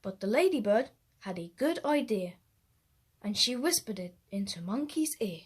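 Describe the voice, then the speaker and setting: A woman storyteller reading a rhyming children's story aloud, expressive, with deliberate emphasis. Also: medium pitch, breathy edge.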